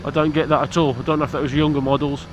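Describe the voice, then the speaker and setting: A man's voice: a little high, level tone, very fast, lo-fi sound, a little hiss or hum. A British man, stuffy from hay fever, chatting casually to camera about his motorbike outdoors in a blunt, cheeky, rambling way. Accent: British English. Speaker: motorcycle vlogger.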